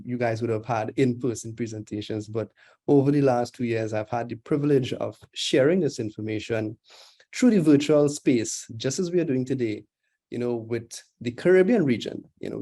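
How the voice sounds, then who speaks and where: A man's voice: mid-range voice, dark tone. A man with a Trinidadian accent giving a conversational talk as a guest speaker in an online session, with frequent fillers. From Trinidad and Tobago. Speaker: guest speaker, digital anthropologist. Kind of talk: webinar presentation. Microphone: video call.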